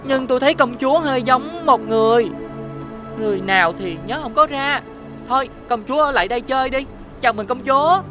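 A woman voicing a blunt, forgetful young boy with a childlike manner in an animated fairy tale. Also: high-pitched, fairly quick, music in the background. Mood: welcoming.

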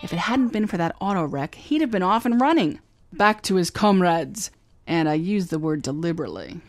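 A woman's voice: fairly low pitch, sing-song, fairly quick, dry recording. An actress playing a confident, wisecracking female private eye in a radio drama, breezy in tone. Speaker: actor. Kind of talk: old-time radio drama acting.

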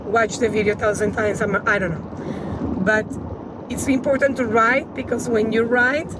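A woman's voice: fairly high pitch, steady tone, moderate pace. A woman in her thirties with a Spanish accent gives casual advice to the camera as a coach, repeating phrases for emphasis. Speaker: women's empowerment coach giving tips. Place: inside a car. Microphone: phone at arm's length. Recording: loud car and road noise.

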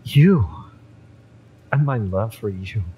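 A man playing a fiery, devoted mercenary in love, tough yet tender, in a romantic audio roleplay, with emphatic, halting delivery. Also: fairly low pitch, animated, dull audio, a little hiss or hum. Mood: impassioned, pleading. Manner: impassioned, emphatic, halting delivery with breathy drops.

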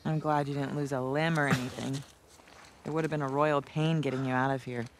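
A woman's voice: a bass voice, steady tone, fairly quick, a little hiss or hum. A woman playing a tough, caring adventurer in a cinematic game, with a dry, matter-of-fact naturalistic delivery. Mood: teasing.

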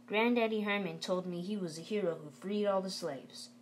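A woman's voice: mid-range voice, moderate pace, a little hiss or hum, lightly acted, poor audio. A woman reading aloud the part of an eager, cocky young boy who questions his father. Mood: defiant.

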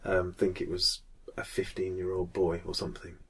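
A man's voice: low voice, little pitch movement, normal speed, gravelly, fairly bright tone, husky. A man in his thirties with a Mancunian accent, hosting a casual podcast chat. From Manchester, England. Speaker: podcast host.

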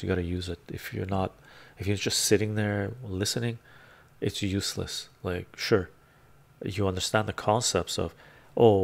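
Gravelly deep-voiced man on a live stream. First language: Romanian. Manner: rambling, chatty, with frequent "right?" asides. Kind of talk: casual live-stream chat, reading comments. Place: home room full of bookshelves. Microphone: lapel mic.

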